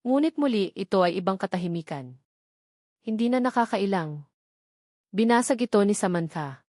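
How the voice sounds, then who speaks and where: A woman reading a scripted dramatic story in measured, deliberate short phrases. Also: mid-range voice, lively intonation, darkish tone, no room sound, clean studio sound. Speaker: audiobook narrator.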